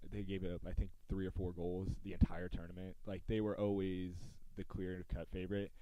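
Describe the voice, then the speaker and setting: A man's voice: low-pitched, level tone, a little fast, mellow, dry recording, rough recording. An American man hosting a podcast, talking sports in a casual, conversational style. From United States.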